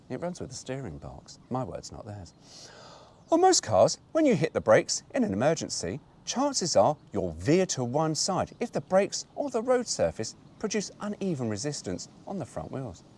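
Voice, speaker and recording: A man's voice: fairly high pitch, a little mellow, a little hiss or hum. Wry man reading a brochure. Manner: wry, mock-indignant asides. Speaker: host reading a car brochure. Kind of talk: reading aloud with asides.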